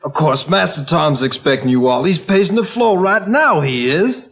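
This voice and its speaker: A kindly Southern servant, eager to please in an old radio play. His creaky voice swings up and down with folksy deference, and the sound is dull and lo-fi. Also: fairly high pitch, animated, moderate pace, fairly bright tone. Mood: deferential, welcoming. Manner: servile, folksy, welcoming. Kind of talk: old-time radio drama acting.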